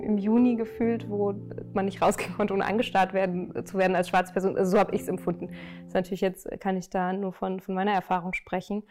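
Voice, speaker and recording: A young German woman answering interview questions in a thoughtful, earnest way, often pausing on fillers. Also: medium pitch, a little mellow. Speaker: interview guest. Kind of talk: reflective conversational interview.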